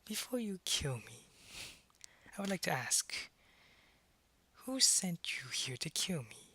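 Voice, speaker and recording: A man playing a suave, calculating vampire businessman in an ASMR roleplay, speaking in a measured, smug and politely menacing way. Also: fairly high pitch, big pitch swings, sharp tone, a little hiss or hum, heavily acted. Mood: coolly amused. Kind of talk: ASMR roleplay audio drama.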